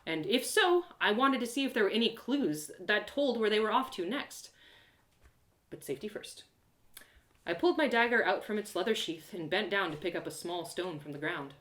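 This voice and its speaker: Expressive woman storyteller in an echoey room. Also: medium pitch. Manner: theatrical, expressive, with dramatic pauses. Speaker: storyteller performing an audio drama live. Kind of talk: animated first-person dramatic story narration.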